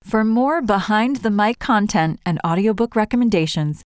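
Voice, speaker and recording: A woman's voice: mid-range voice, animated, normal speed. A woman reading a scripted promotional announcement.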